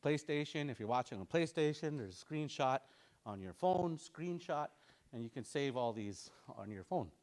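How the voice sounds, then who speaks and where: A man teaching an online language class, drilling words over and over in a relaxed, informal way and chuckling often. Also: mid-range voice, normal speed.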